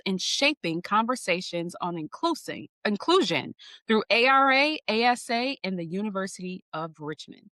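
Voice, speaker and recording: A woman hosting a coaching podcast, reading a polished guest introduction with measured, emphatic lists and then asking interview questions. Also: fairly high pitch, bright, forceful tone, dry recording. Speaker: podcast host.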